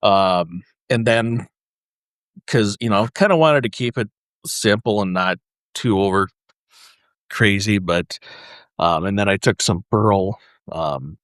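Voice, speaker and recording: A man speaking as a podcast guest, explaining his craft casually in halting, piecemeal phrases with frequent pauses. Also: fairly low pitch, a bit bright, no room sound. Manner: halting, pausing, piecemeal delivery. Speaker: podcast guest, custom paint artist.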